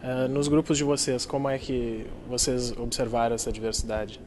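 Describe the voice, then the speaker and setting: A man hosts an interview, politely introducing his guests and putting questions to them. His voice sits at a middle pitch. Faint background noise can be heard. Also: flat delivery, normal speed, a little mellow. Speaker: interviewer for a youth newspaper. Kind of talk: interview hosting.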